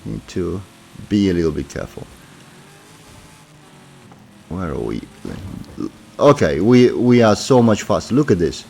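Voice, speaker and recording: Low-voiced man with a sore throat. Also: measured pace, gravelly, pauses often, slight background noise, poor audio. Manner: relaxed, thinking aloud with many fillers. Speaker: gaming commentator. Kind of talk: casual gameplay commentary.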